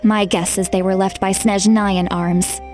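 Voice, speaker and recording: A woman playing a responsible, frank young female leader in a video game, speaking in a measured, earnest way. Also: medium pitch, little pitch movement, music in the background, lightly acted. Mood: earnest, concerned.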